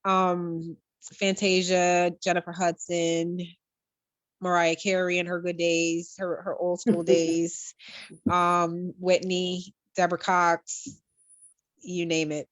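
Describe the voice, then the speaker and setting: A woman's voice: lowish voice, completely flat delivery, takes it slowly, no room sound, low-quality recording. A woman chatting casually as an interview guest, thinking aloud in short phrases. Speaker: interview guest, stage performer. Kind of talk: casual chat.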